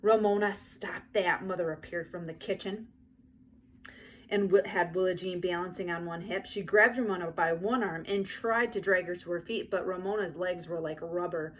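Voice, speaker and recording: A woman narrating a children's book aloud in an expressive storytelling style. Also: fairly low pitch, normal speed. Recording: faint background noise.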